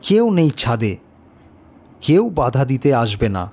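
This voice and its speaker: Slow, animated man narrating a suspense story. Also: medium pitch. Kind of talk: dramatic first-person horror story narration.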